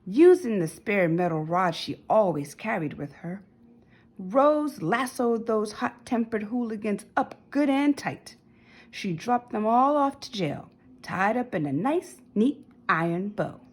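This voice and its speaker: Female narrator for kids' picture book: highly animated pitch, medium register, a touch of rasp, emphatic and dramatic. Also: a little slow. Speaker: storybook narrator. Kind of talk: expressive children's read-aloud.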